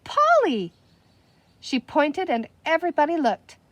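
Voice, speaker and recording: A woman narrating a children's storybook in an expressive, scripted read-aloud style. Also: fairly high pitch, normal speed, dry recording. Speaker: storybook reader.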